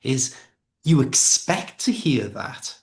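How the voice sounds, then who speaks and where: A man's voice: medium pitch, takes it slowly. A man from Liverpool with a Liverpool accent, an English conversation tutor explaining things in a casual chat. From Liverpool, England. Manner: lively explaining, with accent impressions.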